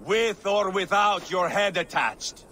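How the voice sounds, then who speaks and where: An actor playing a brash, mocking Viking warrior in a video game, taunting and boisterous. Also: male voice, high-pitched, slow, bright, forceful tone, slight background noise, full character voice.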